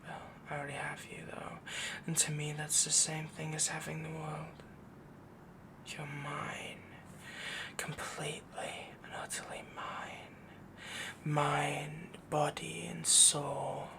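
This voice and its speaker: A man playing a doting, possessive yandere werewolf boyfriend in an intimate roleplay audio, soft-spoken, whispery and adoring. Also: a little high, completely flat delivery, slow. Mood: devoted, possessive.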